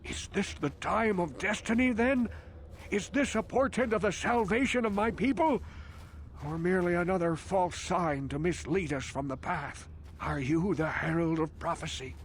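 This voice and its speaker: A man's voice: high voice, moderate pace, slight background noise. A man voicing a hundred-year-old village outcast in a video game, a mystical, wary elder who speaks in formal, portentous questions. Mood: urgent, prophetic. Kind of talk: theatrical video game voice acting.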